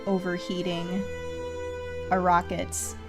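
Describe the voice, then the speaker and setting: A woman streams a game in a creaky voice at a medium pitch, slipping into vocal fry. She thinks aloud in casual, self-directed commentary with mild exclamations. Music plays clearly behind her. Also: completely flat delivery, slow. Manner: self-talking, with mild exclamations and sing-song filler syllables.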